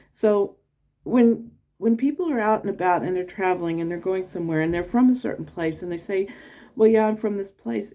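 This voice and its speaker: Woman, American accent, low pitch, mellow tone, casual vlog, rambling, fillers. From United States. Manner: rambling, many fillers and restarts; acts out quoted people in a higher voice. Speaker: channel host.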